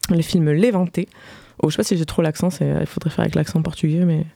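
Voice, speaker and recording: A woman's voice: low voice, fairly even intonation, fast talker, creaky, mellow, no echo. A woman hosting a casual culture show on the radio, chatting informally and improvising with hesitations. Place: radio studio.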